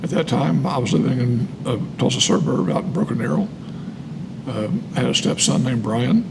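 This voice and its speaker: A man's voice: mid-range voice, expressive, moderate pace, creaky, noisy background. An American man reading aloud and sharing personal testimony, emphatic and repeating phrases for weight. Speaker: co-host reading and commenting on a recovery book.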